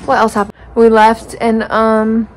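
High-voiced teenage girl chatting to her vlog. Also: monotone, a little slow, a little hiss or hum. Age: teens, about 18. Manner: chatty, rambling, informal slang. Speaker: vlogger. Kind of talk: casual vlog chat. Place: hotel room, restaurant and street on a trip. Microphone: phone at arm's length.

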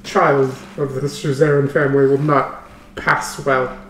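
A man's voice: mid-range voice, level tone, a little slow, fairly bright tone, a little hiss or hum. A man bantering casually as a player in a tabletop role-playing game. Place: living room group session. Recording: roomy, distant mic.

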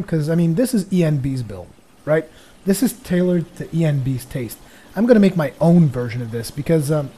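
A young man from Portugal, a native Portuguese speaker, giving jokey rambling let's play commentary on a headset as his voice grows tired and hoarse. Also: fairly high pitch, mellow. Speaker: gaming commentator.

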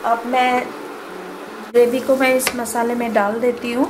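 A woman's voice: on the high side, little pitch movement, measured pace, noisy background. A woman with an Indian accent narrating a cooking tutorial step by step, mixing in English words as a home cook hosting her channel. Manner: simple, instructional, switches between Hindi and English.

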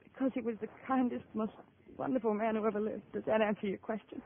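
Young American widow, defensive and bruised, in a 1950s radio play with thin, hissy sound. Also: female voice, on the high side, fairly even intonation, quick pace, gravelly. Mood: regretful. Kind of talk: 1950s radio drama acting. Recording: tinny, noisy old radio recording.

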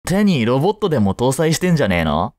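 A man's voice: medium pitch, very animated, quick pace, a little mellow, no room sound, studio quality, natural voice. A young man playing a laid-back, protective teenage childhood friend in a casual voice drama, speaking bluntly and teasingly as if to the listener. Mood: playful, casual. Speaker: voice actor. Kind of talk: first-person voice drama, casual chat to the listener.

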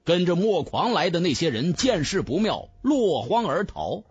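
A man's voice: high voice, sing-song, moderate pace, slightly rough, no room sound, muffled recording. A man narrating a fantasy audiobook in a dramatic scripted style.

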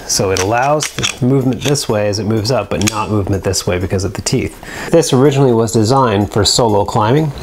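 A man in his thirties from Canada, explaining sailing gear in a practical, steady casual review, recorded close to the camera in a small bare room. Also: on the low side, a little hiss or hum.

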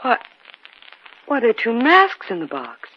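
Impressionable wife in old radio play, noisy muffled recording. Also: female voice, fairly high pitch, wildly swooping pitch, measured pace. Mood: pleasant, curious. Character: uneasy, impressionable young wife Marcia. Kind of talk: 1950s radio drama acting.

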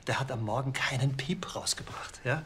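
An actor playing a reassuring, slightly smug naturopath chatting to a patient in a TV crime comedy. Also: male voice, medium pitch, normal speed, a bit bright, whispered, natural voice. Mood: soothing, self-assured. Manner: soothing, chatty, tag questions.

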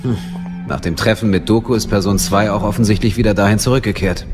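A man's voice: lowish voice, steady tone, fast, lightly acted. A man playing a businesslike military investigator in an audio drama, reporting methodically and matter-of-factly. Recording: loud music behind the voice.

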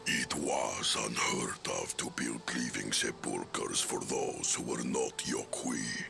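A man voicing a grave, solemn giant keeper of history in a video game, with a very deep, gravelly voice. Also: slow pace, bright, forceful tone, faint music behind, full character voice. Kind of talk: video game cutscene acting.

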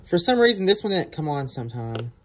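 A man's voice: on the high side, fairly quick, noisy background. Rambling young man filming on his phone. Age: young adult. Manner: rambling, excitable, apologetic. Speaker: vlogger. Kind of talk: casual off-camera commentary. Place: home workshop. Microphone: phone camera held by the filming speaker.

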